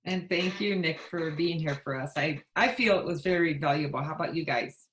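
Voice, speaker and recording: A woman's voice: sits low, brisk, fairly bright tone. A woman hosting a chamber webinar over a video call, friendly and plain as she announces events. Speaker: chamber of commerce host. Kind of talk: webinar wrap-up.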